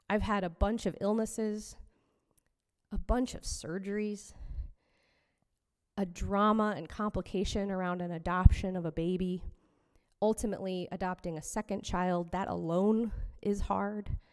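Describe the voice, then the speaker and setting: A woman minister giving a personal, reflective sermon in a measured way. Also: medium pitch, a little mellow, clear, resonant tone. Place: fellowship hall. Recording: long hall reverb.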